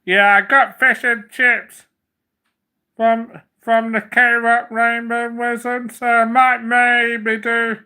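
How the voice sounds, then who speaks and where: Slow, flat-voiced man, bright tone. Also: very high-pitched, clear, resonant tone. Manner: rambling, repeats "so" often. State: slight cough, getting over a cold. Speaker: livestream host. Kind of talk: casual livestream chat.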